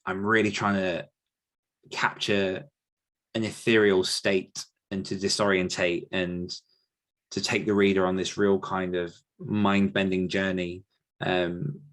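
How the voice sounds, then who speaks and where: Guy, lowish voice, author chatting on a podcast, thinks out loud and keeps pausing for words. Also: flat delivery. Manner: thoughtful, halting, searching for words. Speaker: podcast guest author answering questions. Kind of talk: casual reflective interview talk.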